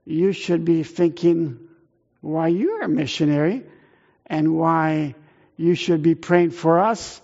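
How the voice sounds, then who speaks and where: A middle-aged man raised in Italy with Italian as his first language, speaking earnestly to a church as a missionary, halting often on fillers. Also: a little high, darkish tone, dull audio.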